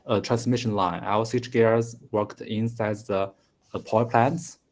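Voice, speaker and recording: A man in his thirties whose native language is Mandarin, speaking English slowly in a conversation lesson with many fillers and restarts. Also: on the low side, fairly even intonation. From China. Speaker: engineering student.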